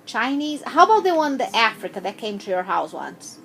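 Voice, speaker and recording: A woman interviewing a child, asking gentle, patient questions with frequent hesitations. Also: on the high side, big pitch swings, a little fast, gravelly, a little hiss or hum.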